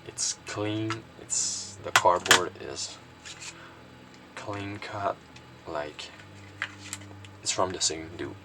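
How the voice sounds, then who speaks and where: A man with a deep, fry-laden voice chats through his collection in an unscripted way. He rambles and hesitates, leaning on filler words. It sounds like a home recording with noticeable background noise. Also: drawn-out words, long pauses. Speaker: collector presenting cassette collection. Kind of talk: casual unscripted show-and-tell.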